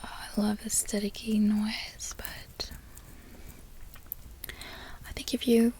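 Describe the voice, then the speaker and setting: A woman's voice: medium pitch, little pitch movement. Whispering woman with a put-on Australian accent. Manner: soft, unhurried, chatty whispering. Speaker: ASMR creator. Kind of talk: whispered ASMR product review. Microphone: close sensitive microphone.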